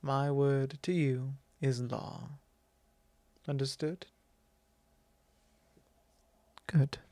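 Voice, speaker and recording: A man playing a possessive, genteel vampire lord in an ASMR roleplay, speaking formally and haughtily to the listener with frequent pauses. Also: mid-range voice, steady tone, warm tone, no echo, lightly acted. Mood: possessive, stern. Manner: measured, formal, haughty, pausing often. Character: possessive, genteel male vampire lord, commanding and self-assured.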